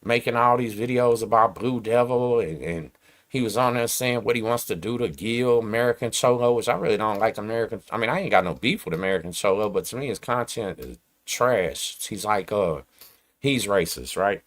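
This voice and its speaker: A man's voice: on the low side, animated, a little fast. A man, hosting his channel and ranting to camera in emphatic streetwise slang. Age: 40s. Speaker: host. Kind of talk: casual rant to camera.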